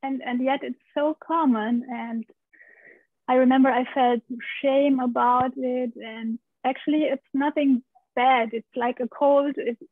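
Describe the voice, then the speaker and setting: A woman's voice: sits high, steady tone, measured pace, no echo. Woman, thirties, open storyteller, dry tinny video-call sound. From Romania. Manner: open storyteller, laughs often, gestures while talking. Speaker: guest, pelvic steam practitioner. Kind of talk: interview over video call. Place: living room at home. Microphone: video call, laptop mic.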